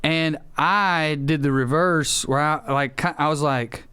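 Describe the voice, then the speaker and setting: A man's voice: a little high, fairly even intonation, unhurried, pushed and bright, smooth. A man from East Tennessee with a local Southern accent, telling casual anecdotes as a podcast co-host.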